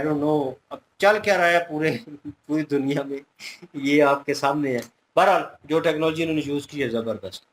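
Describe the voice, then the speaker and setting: A man's voice: on the high side, slight background noise, rough recording. A man giving casual, conversational reaction commentary as a video host, explaining things with everyday examples.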